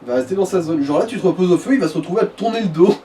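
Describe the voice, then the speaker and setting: Mellow, higher-pitched man, slightly distant. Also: expressive, very fast. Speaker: co-commentator giving game advice. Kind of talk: casual gameplay chat. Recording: distant mic, clear background noise.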